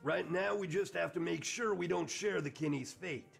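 Very gravelly squadron commander, voiced by a man. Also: high voice, moderate pace, faint music behind, exaggerated voice. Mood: grim resolve. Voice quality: gravelly, husky. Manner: blunt, emphatic. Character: gruff, resolute male squadron commander in a space game.

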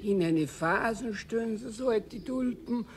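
A man's voice: sits high, a little slow, a little hiss or hum. A man playing a kindly, teasing old caretaker with a Bavarian accent in a children's radio play. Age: older. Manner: good-natured, repeating himself.

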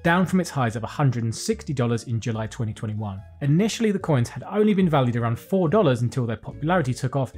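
A British man in his thirties presenting a scripted finance news roundup from a small home studio, reading headlines briskly and dropping wry jokes between them. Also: mid-range voice, expressive, a little mellow, breathy edge, soft background music. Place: small home studio with desk and lights, indoors.

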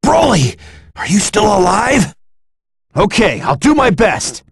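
Earnest young anime fighting game hero, intense, slightly rough husky voice, pitch jumps all over. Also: male voice, sing-song, slow pace, no echo, studio quality, exaggerated voice. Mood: friendly, eager. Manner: intense, emphatic battle delivery. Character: earnest young adult male martial-arts hero in battle.

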